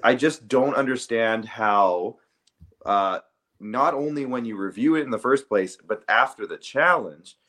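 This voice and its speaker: A man's voice: mid-range voice, measured pace, fairly bright tone. A man co-hosting a sports podcast, casually debating and earnestly repeating himself to press his point.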